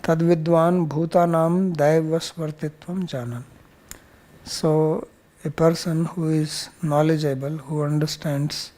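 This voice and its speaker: A man's voice: fairly high pitch, mellow, poor audio. A man speaking as a spiritual teacher, explaining scripture verse by verse in a plain-spoken way.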